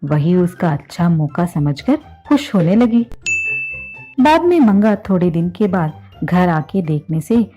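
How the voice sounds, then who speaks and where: Woman narrating a storybook tale, lowish mellow voice, music playing. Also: expressive, a little slow.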